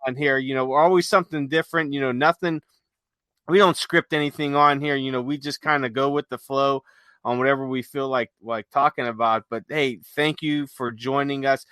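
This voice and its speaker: A man's voice: mid-range voice, sharp tone, clean tone, no room sound. A man hosting a podcast in a casual, unscripted, rambling conversational way. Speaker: podcast host.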